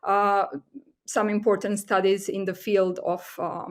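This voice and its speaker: A woman's voice: mid-range voice, flat delivery, a little slow. A woman with a Croatian accent speaking as a guest expert in a conversational interview, explaining things in a hesitant way. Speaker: guest expert, assistant professor.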